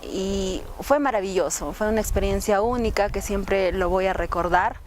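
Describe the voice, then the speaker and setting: A woman gives an unscripted testimonial about her own experience. Her voice sits at an average female pitch, with faint noise behind it. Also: moderate pace. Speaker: radio drama actress. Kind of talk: spontaneous testimonial. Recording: steady background noise.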